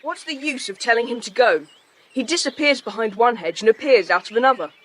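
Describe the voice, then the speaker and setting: A woman's voice: fairly high pitch, brisk, a little hiss or hum, close to an everyday voice, rough recording. A woman playing a sensible, decisive child leader with a confident, practical manner in an audio drama. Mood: firm, practical.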